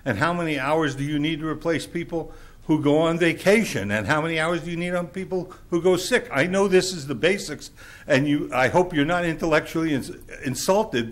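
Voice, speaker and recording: A man with a loud voice giving a blunt, exasperated public comment at a council chamber podium, lecturing the council. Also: fairly high pitch.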